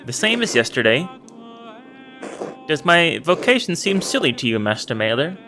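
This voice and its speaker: A man's voice: on the high side, sing-song, normal speed, music in the background. A man voicing a stern, rule-bound monk. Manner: stiff, rule-bound and disapproving. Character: stern, formal monk guarding an abbey's treasures. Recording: clear background noise.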